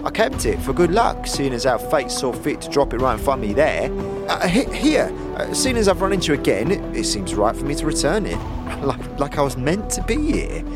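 A man's voice: mid-range voice, big pitch swings, brisk, loud music behind the voice, lightly acted. A man playing a nervous, superstitious city commoner in a fantasy audio drama, stammering and flustered in rustic old slang. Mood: flustered, awed.

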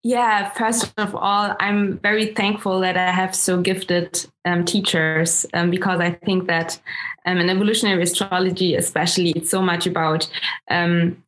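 A woman with a German accent, whose first language is German, speaking as an astrologer guest in an interview, pausing often and restarting her sentences. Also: fairly low pitch, steady tone, a bit bright, poor audio. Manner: hesitant, many ums and restarts. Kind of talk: interview conversation.

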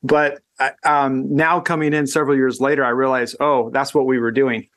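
A man speaks in a relaxed, conversational way, working out his ideas aloud. His sentences often stall and restart while he searches for the right word. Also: medium pitch. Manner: thoughtful, halting with frequent restarts. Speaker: guest educator. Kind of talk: casual conversation.

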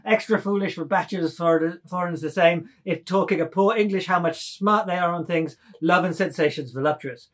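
A man performs a tipsy young show-off in a dramatised novel. The voice is high for a man. He declaims and minces theatrically, as if mocking foreign speech. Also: a little fast, few pauses, fairly bright tone. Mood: gabbling, mocking. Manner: declamatory, mincing, mock-foreign broken English. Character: drunken, theatrical young man, grimacing and clowning. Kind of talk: dramatised reading of a modernist novel.

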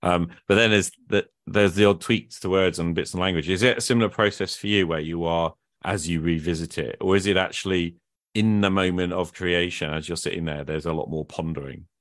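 A man's voice: deep voice, steady tone, a little fast, no room sound, clean studio sound. A man hosting a conversational podcast interview, reflective and meandering as he works his way toward his questions. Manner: reflective, meandering questions with brief listening murmurs. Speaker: podcast host.

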